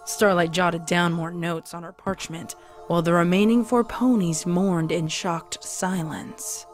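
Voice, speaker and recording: Lower-pitched woman narrating over music. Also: fairly even intonation, a little slow. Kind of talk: dramatic scripted story narration. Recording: music behind the voice.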